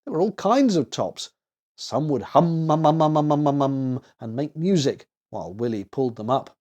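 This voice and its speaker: Animated man reading a children's story. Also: on the high side, moderate pace. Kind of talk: expressive children's story narration.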